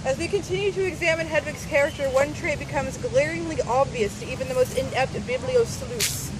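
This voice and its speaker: A woman with a high voice reads a scripted piece aloud as a dramatic performance. Her delivery is theatrical and emphatic, with a mock-serious air. Clear background noise, like traffic outdoors, runs behind her. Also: brisk. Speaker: reader of a fan zine. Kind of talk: dramatic reading of a scripted mock-conspiracy essay.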